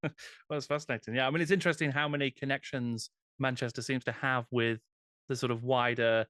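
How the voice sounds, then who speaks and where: A middle-aged man hosting an interview from home, friendly and quick to laugh, thinking aloud as he asks questions. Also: mid-range voice, steady tone, fairly bright tone, no room sound, studio quality. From England. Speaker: podcast host, interviewer. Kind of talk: casual conversational interview. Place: home room, indoors.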